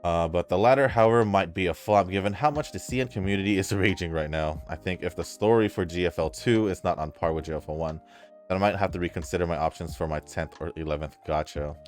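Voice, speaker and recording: A man's voice: deep voice, quick pace. A man talking casually as a gaming YouTuber, reading viewer comments aloud and reacting in a laid-back, hesitant way with trailing fillers. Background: faint background music.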